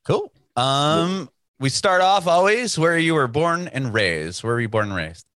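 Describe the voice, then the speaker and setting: A man's voice: on the high side, sing-song, measured pace, a bit bright, no room sound. A man from San Diego hosting a music podcast, chatty and upbeat, with lots of fillers and restarts. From San Diego, United States. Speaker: podcast host. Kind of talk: casual interview chat and scripted intro.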